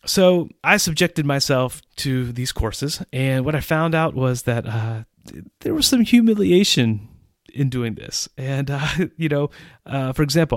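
A man's voice: medium pitch, no echo, clean studio sound. A middle-aged man hosting a podcast, telling personal stories in an easygoing, self-deprecating way with frequent chuckles. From English-speaking country. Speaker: podcast host. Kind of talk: personal storytelling monologue.

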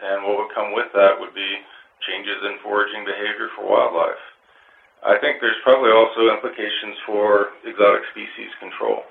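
A man giving measured, formal explanations in an interview as a park resource official, with hesitant phrasing, on a narrow phone-like line. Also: on the low side, flat delivery, gravelly.

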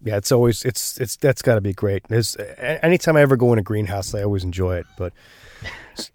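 A man hosting a podcast, his voice a little gravelly from being unwell, chatting casually with many fillers. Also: fairly low pitch, fairly quick, a little mellow, no room sound, clean studio sound. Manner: frequent fillers and restarts, friendly. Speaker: podcast host.

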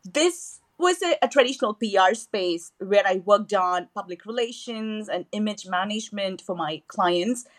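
A woman's voice: mid-range voice, animated, moderate pace, hardly any pauses. Eager woman podcast guest. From southern India. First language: Tamil. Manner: enthusiastic, with frequent fillers and restarts. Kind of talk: podcast interview.

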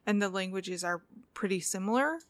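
A woman's voice: medium pitch, animated, moderate pace, no echo, studio quality. A woman interviewing a guest, asking curious questions and encouraging him as he talks. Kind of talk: casual interview.

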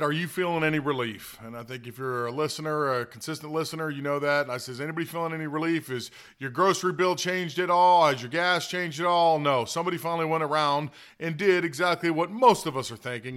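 A man with a fairly high, very bright and forceful voice talks through political commentary as a show host. He is opinionated and plain-spoken, running his monologue in a conversational way.

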